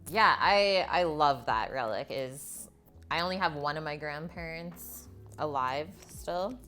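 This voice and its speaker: A woman's voice: sits low, a little slow, pushed and bright, soft background music. A woman hosting a live cooking stream, talking in a chatty, informal way to viewers as she works and tells stories. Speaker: cooking livestream host.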